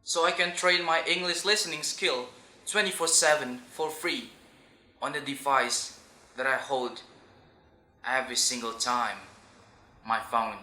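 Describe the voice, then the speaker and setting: Young Indonesian-accented man vlogging in a dim room, punchy delivery, sharp bright voice, echoey. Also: medium pitch, unhurried, pauses often. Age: young adult. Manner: emphatic, playful asides. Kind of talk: casual scripted vlog. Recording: roomy echo.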